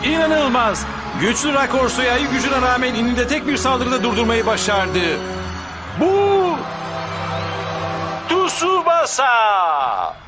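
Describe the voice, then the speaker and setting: An excitable anime match announcer, pitched extremely high. He commentates dramatically and showily over prominent music. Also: slow pace, pauses often, heavily acted. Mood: shouting, thrilled. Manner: dramatic, showy. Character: excitable male tournament announcer. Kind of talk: dubbed anime sports commentary. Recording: loud music behind commentary.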